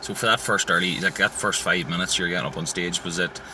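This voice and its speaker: A man's voice: deep voice, fast. A man with a Tyrone accent hosting a casual chat in a car, talking loosely. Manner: chatty, loose phrasing with fillers. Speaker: podcast host. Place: inside a parked car. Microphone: phone. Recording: phone recording with steady noise.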